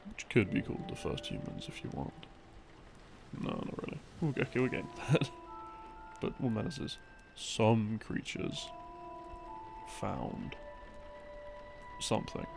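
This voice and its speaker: Slow, low, creaky-voiced affectionate boyfriend played by a man. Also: heavily acted. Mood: soothing storytelling. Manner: soft-spoken, hesitant, teasing, with small chuckles and long pauses. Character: affectionate, laid-back boyfriend gamer soothing his partner to sleep. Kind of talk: intimate ASMR boyfriend roleplay, unhurried rambling explanation. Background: background game music.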